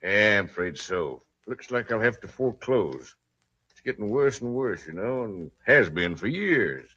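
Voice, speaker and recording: A low, animated male voice as a folksy moneylender, regretful yet businesslike. It comes through a muffled old radio western recording. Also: a little slow, husky, full character voice.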